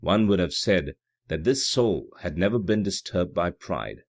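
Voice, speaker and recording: A man's voice: low voice, animated, a little slow. A man reading literary fiction aloud as scripted audiobook narration. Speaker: audiobook reader.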